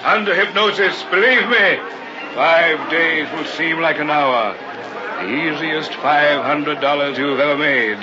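Sinister showman hypnotist , male actor, theatrical and high-voiced, for a radio chiller; muffled vintage sound over music. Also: measured pace, crowd noise, lightly acted. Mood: showy, coaxing. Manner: theatrical barker's patter. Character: sinister carnival hypnotist professor, smooth, pushy showman. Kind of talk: old-time radio horror acting.

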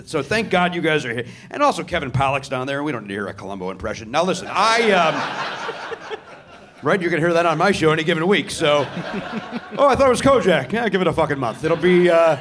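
Man hosting a live stage show, a touch high-voiced, very lively sarcastic riffing. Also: brisk, a little hiss or hum. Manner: riffing, sarcastic, profane asides. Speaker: comedy podcast host. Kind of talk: live stand-up banter with the audience. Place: theatre stage before a live audience.

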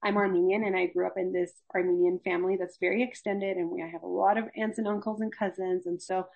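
A young woman talks through healthy aging in an unhurried, earnest way, sometimes acting out passing diet fads. Her voice carries a gravelly fry and a husky grain. She sounds like a faculty expert chatting on a podcast. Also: on the low side, little pitch movement, phone-quality sound. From Los Angeles, USA. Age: young adult. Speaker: gerontology faculty guest. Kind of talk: conversational interview.

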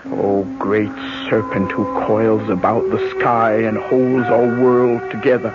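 An older voodoo sorceress, solemn and vengeful. She speaks slowly, with incantatory weight, in radio-play style. The old recording is dull, with music behind her. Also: a bass voice, little pitch movement. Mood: incantatory, pleading. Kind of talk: old radio drama acting.

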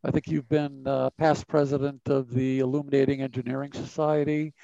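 Gravelly, hesitant male podcast host. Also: medium pitch, level tone, fairly quick, dark tone, dry recording, dull audio. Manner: hesitant, many fillers. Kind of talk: podcast interview.

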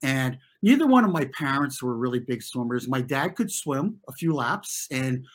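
A man's voice: medium pitch, very animated. Middle-aged American man reflecting in conversation. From US, New York and New Jersey. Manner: informal and halting, circling back to rephrase. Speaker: interview guest, former competitive swimmer.

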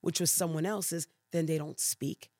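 A Puerto Rican-born woman pastor with a New York accent, telling stories in an animated, emphatic way in a podcast interview. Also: deep voice, moderate pace, no echo, studio quality. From Puerto Rico.

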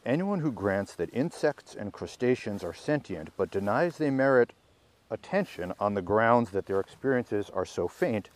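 A man reading a research article aloud in a measured, explanatory way, with informal asides and the odd self-correction. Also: on the low side. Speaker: podcast reader and commentator.

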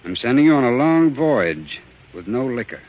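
An actor playing a stern, insistent family doctor in a 1940s radio drama, speaking firmly and deliberately. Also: male voice, medium pitch, very animated. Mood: stern, forceful. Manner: firm, deliberate, commanding. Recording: tinny, narrow-band old radio recording.

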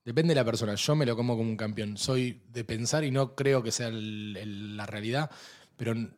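A young man with an Argentine accent, co-hosting a casual chat and telling stories, jumping into high voices when he quotes people. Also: normal speed. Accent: Argentine Spanish. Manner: animated storyteller, jumps into high put-on voices for quoted people. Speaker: podcast co-host.

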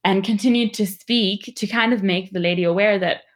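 A young woman giving a reflective personal account in a thoughtful, measured and candid way. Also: medium pitch.

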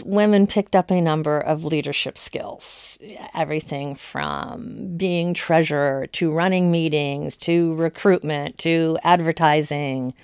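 A woman's voice: sits low, darkish tone, clear, resonant tone, no echo, clean studio sound. A woman giving informal history commentary as an expert, with an emphatic, conversational manner.

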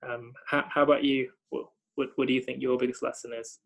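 A man's voice: mid-range voice, level tone, fast talker, vocal fry, rough recording. A young man from Thailand working as a hospital doctor, talking thoughtfully with many fillers and repeated starts. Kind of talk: reflective conversation.

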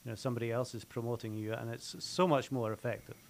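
A man co-hosting a business talk show, explaining ideas in a steady conversational way. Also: medium pitch, quick pace. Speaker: co-host. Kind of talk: casual interview chat.

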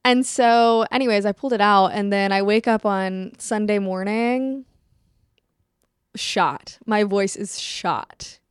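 A young woman co-hosting a podcast, telling stories in an animated, chatty way. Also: medium pitch, slow pace, clean tone, no room sound, clean studio sound. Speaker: podcast co-host. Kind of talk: casual chat.

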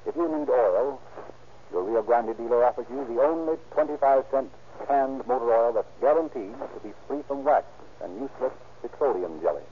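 A male narrator delivers formal, declarative announcements in 1930s radio style. His mid-pitched voice comes through a narrow, tinny recording with light background noise. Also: lively intonation, moderate pace.

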